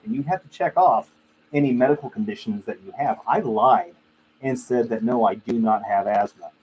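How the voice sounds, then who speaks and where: A man's voice: mid-range voice, darkish tone. Man chatting casually over noisy audio. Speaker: livestream host. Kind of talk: casual chat with live viewers. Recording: clearly noisy live stream audio.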